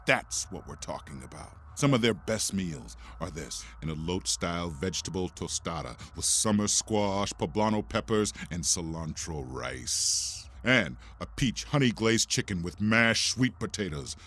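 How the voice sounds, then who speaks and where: An actor playing a menacing, monstrous, contemptuous announcer with a gravelly, raspy voice, reading scripted copy theatrically. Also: male voice, low voice, expressive, unhurried, few pauses, fairly bright tone, full character voice. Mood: ominous salesmanship. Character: menacing monstrous male announcer scolding his co-hosts, bleakly contemptuous. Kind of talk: in-character scripted sponsor read.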